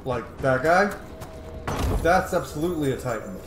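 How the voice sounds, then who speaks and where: A man's voice: a little high, lively intonation, moderate pace, echoey room, slight background noise. A man giving casual, informal gameplay commentary in short, sometimes sweary asides. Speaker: video game streamer. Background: game music.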